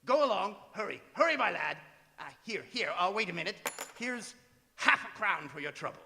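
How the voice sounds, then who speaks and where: An excitable old english-miser type turned joyful, creaky high voice, radio play in an echoey hall. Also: male voice, normal speed, a little hiss or hum, full character voice. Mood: delighted. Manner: chuckling, stammering, excitable old gentleman. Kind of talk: theatrical radio drama acting. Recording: reverberant stage hall, faint music behind.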